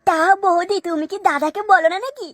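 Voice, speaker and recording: A man's voice: very high-pitched, fairly even intonation, brisk, fairly bright tone, no echo, clean studio sound. A man voicing a lecherous, sly young construction worker with a crude, wheedling manner in a comic cartoon dub. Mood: lustful, wheedling.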